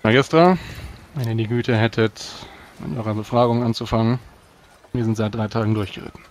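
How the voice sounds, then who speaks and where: A man's voice: medium pitch, fairly even intonation, brisk, natural voice. Casting: man as proud tabletop-game knight, formal and commanding, weary, noisy background. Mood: formal, urgent.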